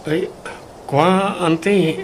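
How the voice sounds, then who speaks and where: A man's voice: sits high, fairly even intonation, very slow, slight background noise. A man playing a polite, good-natured nephew in an Ilocano radio drama, hesitant and deferential with an Ilocano Filipino accent. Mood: reluctantly agreeing.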